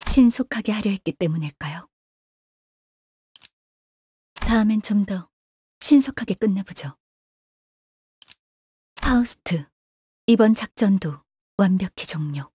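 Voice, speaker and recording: Breathy, coolly detached young agent. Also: female voice, medium pitch, a little slow, pauses often, dry recording, clean studio sound. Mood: composed, detached. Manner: calm, detached, formal, refers to herself by name. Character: composed, coolly analytical young woman, cleanup agent. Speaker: video game voice actor. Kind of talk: scripted game character voice lines.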